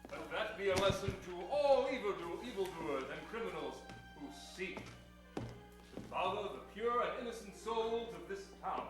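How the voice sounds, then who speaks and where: High, pompous, grandiose superhero. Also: male voice, animated, moderate pace. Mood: commanding. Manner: grandiose, declaiming, formal. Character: pompous heroic masked-style crime fighter Hat Man. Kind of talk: old-time radio acting. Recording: very echoey room, music behind.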